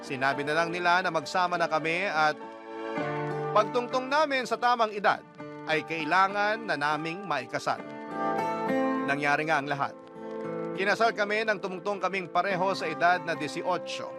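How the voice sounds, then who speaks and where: A man with a Filipino accent telling a personal story as a radio storyteller, in dramatic narration. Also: high voice. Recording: music bed under narration. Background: background music.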